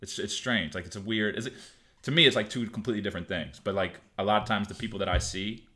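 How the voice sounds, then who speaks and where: A man who coaches shooting, talking casually on a podcast in a halting, laid-back way full of restarts and fillers. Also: lowish voice, pushed and bright.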